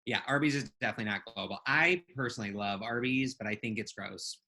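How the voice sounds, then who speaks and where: Bright-voiced woman co-hosting a casual chat. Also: very deep voice, quick pace. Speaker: podcast co-host.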